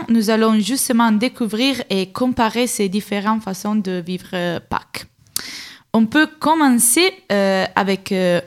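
A young woman from Turin with an Italian accent hosts a radio show as a volunteer, speaking French as a second language in a slightly halting way. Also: fairly high pitch. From Turin, Italy. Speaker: radio host, European volunteer.

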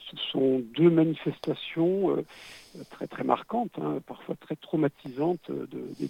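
Husky man explaining on a phone line. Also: a little high, no room sound. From French Alps. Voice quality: stuffy, husky. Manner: explains carefully, many repeated starts. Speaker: guest scientist. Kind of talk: radio interview by phone. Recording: muffled dry phone line.

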